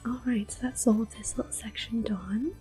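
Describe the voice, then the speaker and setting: A woman giving gentle, hushed, unhurried ASMR commentary on a video game, soft-spoken and often whispering. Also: on the high side, fairly even intonation. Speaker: gameplay video host. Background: game music.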